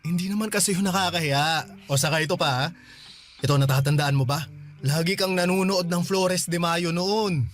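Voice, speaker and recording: A man's voice: high-pitched, normal speed, faint music behind, natural voice. A man playing a teasing but earnest older brother in a Filipino radio drama, talking in a coaxing way. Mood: teasing, amused.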